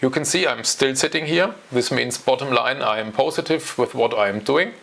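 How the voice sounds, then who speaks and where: German-accented man in his forties, gravelly voice. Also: mid-range voice, normal speed, fairly bright tone. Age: 40s. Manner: hesitant, many ums and uhs. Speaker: channel host. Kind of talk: casual talk to camera. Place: home.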